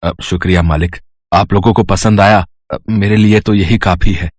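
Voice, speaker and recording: A man voicing a poor, humble village husband, worried yet reassuring, in an animated storybook tale. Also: low voice, moderate pace, dry recording, studio quality, voice pushed down. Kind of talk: animated storybook cartoon dialogue.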